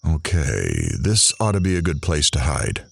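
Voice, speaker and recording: An actor playing a grumbling hidden watcher in an audio drama, muttering dryly and sardonically to himself. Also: male voice, a bass voice, huge pitch swings, a little slow, creaky, faint music behind, heavily acted. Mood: wry, watchful.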